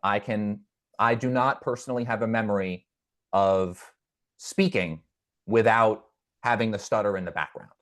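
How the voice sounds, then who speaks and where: A man's voice: on the low side, moderate pace, a bit bright. A man guest in an interview, speaking earnestly and reflectively, with a stutter that brings repeated words and restarts. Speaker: podcast guest. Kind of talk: conversational interview.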